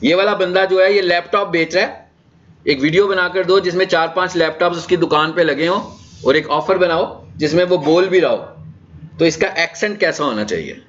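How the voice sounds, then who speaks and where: A man's voice: high voice, a little fast, a bit bright. A man with a Pakistani accent giving an informal, conversational lecture as a trainer, explaining things and checking in with rhetorical questions. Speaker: digital marketing trainer. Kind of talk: informal lecture in an orientation session. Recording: dull, lo-fi session audio.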